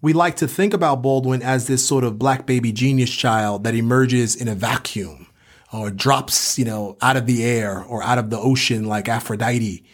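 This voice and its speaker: Male podcast co-host, professorial and reflective, mid-pitched, mixing scholarly talk with colloquial turns. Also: moderate pace. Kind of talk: literary podcast discussion.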